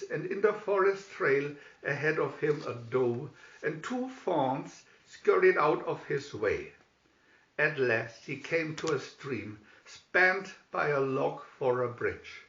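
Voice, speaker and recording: Slow, animated male storybook reader. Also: fairly high pitch, vocal fry. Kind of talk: dramatic scripted narration. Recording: dull, roomy home recording.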